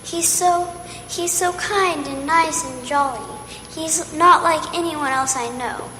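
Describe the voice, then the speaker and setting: Female performer as an earnest high-voiced little girl. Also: slow pace, pushed and bright, faint music behind, heavily acted. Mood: earnest, caring. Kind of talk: old-time radio play acting. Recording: echoey hall.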